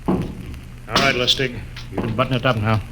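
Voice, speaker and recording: An actor playing a brisk, authoritative male spaceship captain in a 1950s radio drama. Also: medium pitch, steady tone, heavy background noise, close to an everyday voice. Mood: commanding.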